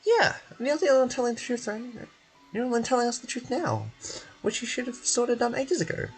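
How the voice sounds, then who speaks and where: A man voicing a tiny, childish, indignant fairy companion in a squeaky falsetto. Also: big pitch swings, fast, soft background music, exaggerated voice, low-quality recording.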